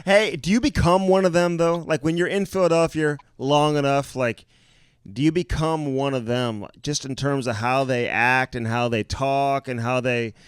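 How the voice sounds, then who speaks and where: A man's voice: medium pitch, steady tone, moderate pace, clean tone, no echo, studio quality. A man co-hosting a podcast, who asks questions in an easygoing way and laughs often. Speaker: podcast co-host. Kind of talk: casual interview questions.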